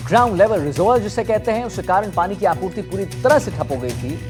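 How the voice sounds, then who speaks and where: A man's voice: high-pitched, expressive, quick pace, darkish tone, faint music behind. A man anchoring live TV news in an emphatic, repetitive way.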